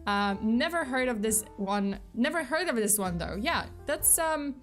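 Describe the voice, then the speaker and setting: A young woman with a fairly high voice chats with her live-stream viewers in a loose, giggly way. She speaks with plenty of fillers and false starts. Soft music plays quietly behind her. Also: lively intonation, a little slow. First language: Polish. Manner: chatty, giggly, many fillers and restarts. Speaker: VTuber streamer.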